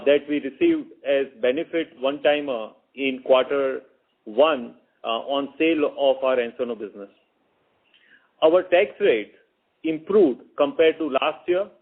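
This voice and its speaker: The sound is a narrow phone conference line. A man with a moderately high voice gives a formal financial briefing as a finance chief, sometimes doubling back on a word. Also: slightly rough.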